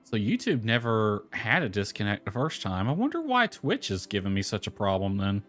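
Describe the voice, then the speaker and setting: A man's voice: fairly low pitch, very animated, moderate pace, fairly bright tone. A man livestreaming a video game, talking casually to himself and reading game text aloud. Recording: music playing behind the voice. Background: lo-fi music.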